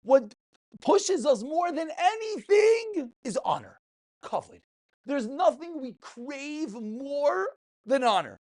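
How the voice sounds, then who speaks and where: A man's voice: very high-pitched, big pitch swings, takes it slowly, darkish tone. A man, a rabbi teaching an informal religious class in an emphatic way, posing rhetorical questions and repeating phrases. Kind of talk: informal Talmud and ethics lecture.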